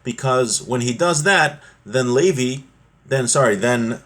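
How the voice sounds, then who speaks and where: A man with a mid-pitched voice teaches religious law in an informal lesson. He reads from a text and explains it in everyday, conversational language. He acts out examples and checks in with the listener as he goes. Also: expressive, unhurried, fairly bright tone.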